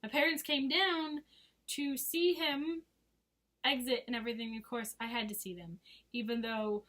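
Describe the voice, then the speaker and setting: Hoarse girl in her 20s, high voice, rambly and giggly, tons of ums and likes. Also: steady tone, normal speed, a bit bright. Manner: rambling and giggly, full of fillers. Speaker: vlogger. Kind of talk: casual chat to camera.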